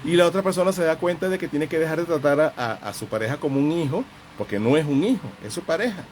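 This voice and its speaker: A man speaking as a counselor and host in an informal live talk, explaining with examples in a halting, reflective, didactic way and often repeating phrases. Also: a little high, lively intonation, a little mellow, slight background noise.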